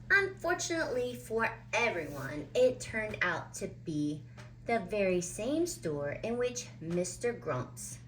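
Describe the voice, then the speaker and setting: A woman reading a children's picture book aloud with deliberate, dramatic phrasing. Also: mid-range voice, fairly bright tone, a little hiss or hum, low-quality recording. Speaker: children's storybook reader.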